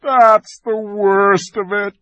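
A reader voicing a sorrowful male fawn who speaks slowly through heavy sobs. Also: high-pitched, no room sound, muffled recording, pitched above the performer's own voice. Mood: anguished. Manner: sobbing, slow and anguished. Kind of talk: audiobook character voice.

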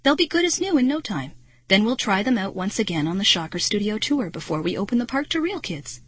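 Woman playing a weary, husky male inventor. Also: medium pitch, very animated, brisk, a bit bright, no echo. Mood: resigned. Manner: muttering, resigned. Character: weary adult male inventor, matter-of-fact and cold.

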